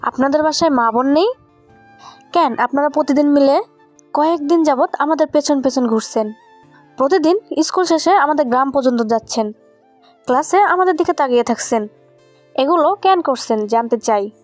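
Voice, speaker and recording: A woman's voice: high-pitched, normal speed, long pauses, darkish tone, faint music behind, close to an everyday voice. A woman playing a feisty, stern schoolgirl in an audio love story. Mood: stern, scolding. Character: feisty schoolgirl, stern and scolding, quick to soften.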